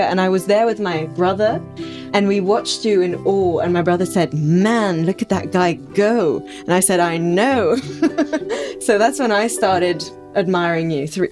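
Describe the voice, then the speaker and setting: A woman hosting a podcast in casual, bubbly chat, laughing often. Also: mid-range voice. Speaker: podcast host.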